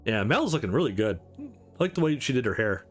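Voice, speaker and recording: A man's voice: mid-range voice, very animated, fast, faint music behind. A man reading a visual novel aloud as a let's play host, dropping in casual asides between the lines.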